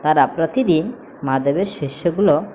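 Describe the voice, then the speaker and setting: A woman's voice: sits low, moderate pace, warm tone. A woman narrating a scripted children's story as a storyteller, over a noisy background. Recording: noticeable background noise, ambience.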